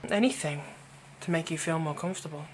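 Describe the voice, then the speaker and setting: A man playing a possessive young fantasy villain in an ASMR roleplay, tenderly soothing a captive in a soft voice that often turns breathy. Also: on the high side, a little fast, slight background noise, lightly acted. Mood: gentle, reassuring. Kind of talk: ASMR roleplay, intimate scripted monologue.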